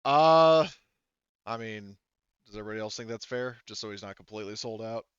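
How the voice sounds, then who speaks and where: A man's voice: on the low side, fairly quick, fairly bright tone, clear, resonant tone, no echo. A man chatting casually as a game master, informal and given to swearing, on dull lo-fi audio. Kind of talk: casual chat after a tabletop game.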